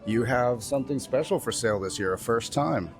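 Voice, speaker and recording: A man's voice: medium pitch, animated, fairly quick, faint music behind. A man interviewing someone casually on site at a convention. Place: convention vendor's room.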